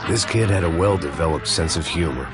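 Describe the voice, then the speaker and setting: An actor playing a middle-aged, washed-up American ex-cop, delivering world-weary, deadpan noir narration and dialogue for a crime game. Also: male voice, deep voice, fairly quick. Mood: weary, brooding. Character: jaded, sardonic washed-up ex-cop turned bodyguard. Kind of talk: cinematic noir voice-over and dialogue. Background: loud music.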